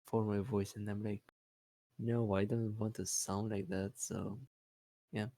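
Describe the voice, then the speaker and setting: A man who is not a native English speaker, chatting casually in a halting way with repeated words and many fillers. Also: lowish voice, flat delivery, mellow, dry recording. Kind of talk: casual hesitant chat.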